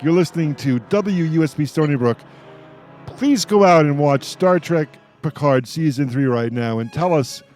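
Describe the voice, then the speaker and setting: A man hosts a live radio talk show with faint music behind him. His pitch sits in the middle for a man, and he speaks casually, in a jovial and rambling way. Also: darkish tone.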